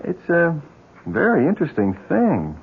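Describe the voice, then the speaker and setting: An actor playing a friendly, mild-mannered neighbour in a 1970s radio drama, affable and a little hesitant. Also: male voice, fairly high pitch, huge pitch swings, unhurried, a little hiss or hum, heavily acted. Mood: curious, puzzled. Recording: muffled, tinny 1970s radio broadcast.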